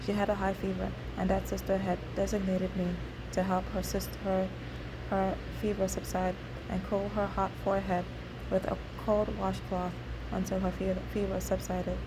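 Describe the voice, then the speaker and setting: A woman reads her life story aloud. Her pitch stays level and even, her pace unhurried, with small restarts along the way. A steady noise runs under the recording. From Nigeria. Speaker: memoir narrator. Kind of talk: reading her memoir aloud.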